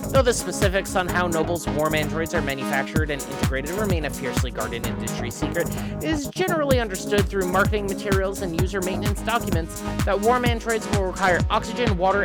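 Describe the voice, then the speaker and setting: A streamer reading a visual novel aloud in narration, breaking off for casual, musing commentary. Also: mid-range voice. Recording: electronic music behind the voice. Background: electronic game music.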